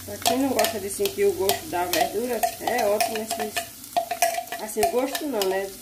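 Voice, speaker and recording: A woman's voice: mid-range voice, normal speed, heavy background noise. A woman chatting casually to her viewers while she cooks in a small home kitchen, filmed on a phone. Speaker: vlogger cooking at home. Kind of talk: casual cooking vlog narration. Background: loud sizzling pan and kitchen clatter.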